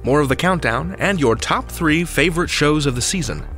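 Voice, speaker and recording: A man's voice: on the high side, animated, normal speed, a bit bright, soft background music. An American man hosting a podcast countdown with playful, theatrical scripted narration. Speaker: podcast host.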